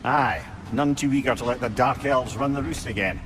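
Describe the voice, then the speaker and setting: An actor playing a wry, learned old advisor with a Scottish accent and a storyteller's manner in a video game. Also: male voice, medium pitch, moderate pace, background noise, close to an everyday voice. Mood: knowing. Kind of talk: video game cutscene acting.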